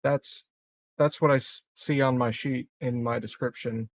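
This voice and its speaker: Man, tabletop player, casual chat, hesitant, slow pace, creaky voice. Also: mid-range voice, fairly even intonation, no room sound.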